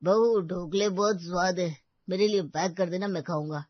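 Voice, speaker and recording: A man's voice: high-pitched, fairly even intonation, normal speed, no room sound, lo-fi sound. A man playing a genial, businesslike village council elder who talks in a familiar, direct way in a family story. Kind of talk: Hindi family story acting.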